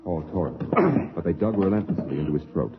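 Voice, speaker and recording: A man's voice: sits low, big pitch swings, brisk, heavy background noise. A man delivering grave scripted narration as the narrator of a 1950s science fiction radio play. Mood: grave, measured. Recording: dull lo-fi 1950s radio recording.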